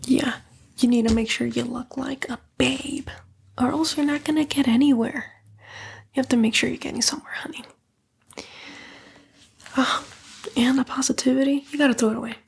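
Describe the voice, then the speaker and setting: Woman playing a sly, breathy, whispering demon temptress. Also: high voice, fairly even intonation, normal speed, long pauses, full character voice. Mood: flattering, sly, then gleefully corrupting. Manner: coaxing, flippant, disdainful, drawling slang. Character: sly, sassy female demon, a tempting fallen angel. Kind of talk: whispered ASMR roleplay.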